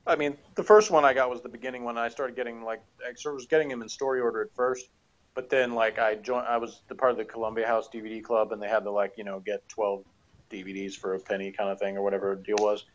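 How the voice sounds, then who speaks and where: A man's voice: on the low side, fairly even intonation, quick pace, highs cut off. Rambling man chatting at his desk. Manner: rambling and hesitant, many fillers. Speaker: podcast co-host reviewing audio dramas. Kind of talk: casual chat. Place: home office with bookshelves, indoors.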